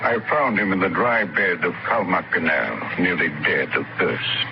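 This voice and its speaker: An actor playing a gentle, eccentric old wandering preacher in a 1950s radio drama, solemn, pious and deliberate. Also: male voice, low voice, lively intonation. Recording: noisy, muffled old radio recording.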